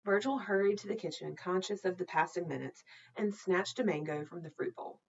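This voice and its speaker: A woman narrating a children's novel aloud in an expressive, deliberate storytelling manner. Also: lowish voice, vocal fry, breathy edge, highs cut off. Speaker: storytime reader, teacher.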